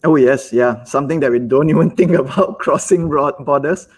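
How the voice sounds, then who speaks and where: Husky Malaysian-accented businessman. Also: a little high. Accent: Malaysian English. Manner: halting, repeats words, acts out quotes. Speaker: business owner guest. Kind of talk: live webinar conversation.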